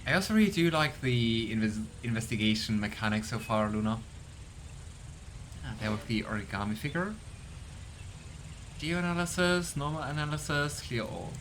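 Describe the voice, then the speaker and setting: A man giving casual gameplay commentary, chatty and curious, thinking out loud. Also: medium pitch, normal speed, pauses often, slight background noise. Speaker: let's play streamer.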